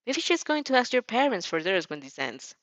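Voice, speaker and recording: A woman reading fan fiction aloud in an expressive amateur style, giggly and stumbling over the text. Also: on the high side, brisk, no echo.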